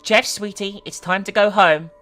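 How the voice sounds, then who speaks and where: A woman voicing a worried, gentle mother, anxious and soothing, in a horror story reading. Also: mid-range voice, fairly even intonation, normal speed, faint music behind, pitched above the performer's own voice, lightly acted. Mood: gentle concern.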